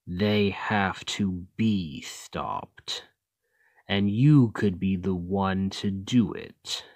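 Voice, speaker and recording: Demon king villain for ASMR roleplay, male actor: low voice, very unhurried delivery, condescending, taunting, persuasive. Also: a little mellow, no room sound, exaggerated voice. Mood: persuasive, goading. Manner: slow, deliberate, condescending, taunting. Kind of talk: ASMR roleplay, scripted acting addressed to listener.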